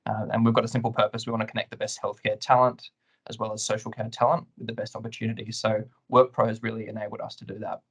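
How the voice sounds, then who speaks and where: A man speaking as a webinar guest, answering questions in a measured, professional, explanatory way. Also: on the low side, little pitch movement, husky. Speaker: client guest, compliance lead.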